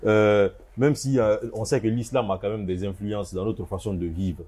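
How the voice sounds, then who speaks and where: Low mellow male interviewer. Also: fairly even intonation. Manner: halting, with many hesitations. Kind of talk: interview questions.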